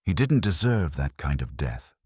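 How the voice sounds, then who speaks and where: A man voicing a bitter, defeated, ambitious woman in an audiobook, halting and confessional. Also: very deep voice, big pitch swings, a little mellow, slightly rough, no echo, studio quality, heavily acted. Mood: bitter regret.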